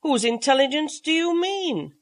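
A woman's voice: high-pitched, expressive, moderate pace, voice pushed up. A woman voicing an aloof, clever male house cat who talks in a cold, indifferent, cutting way. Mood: cool.

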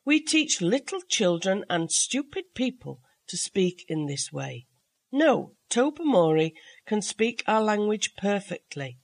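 A woman voicing an earnest male scientist who explains his discovery in a measured, patient way. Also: mid-range voice, very animated, exaggerated voice.

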